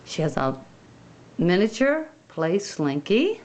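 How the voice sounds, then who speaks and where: A woman hosting a toy unboxing video, gently showing and naming each item in a sing-song way. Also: fairly low pitch, very animated. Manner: slow, gentle, sing-song show-and-tell.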